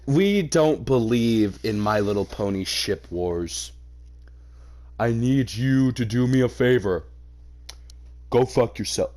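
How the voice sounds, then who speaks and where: A man's voice: medium pitch, expressive, slow pace, pauses often, a little mellow. A man doing a comedic reaction reading, narrating a story aloud and breaking off into mocking, sweary, theatrically exasperated commentary. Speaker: host reading a fan fiction aloud and reacting.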